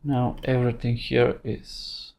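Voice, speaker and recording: Slow, halting male tutorial instructor. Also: mid-range voice, monotone, gravelly, darkish tone. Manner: halting, thinking aloud in short phrases. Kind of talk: unscripted screen-recorded tutorial narration.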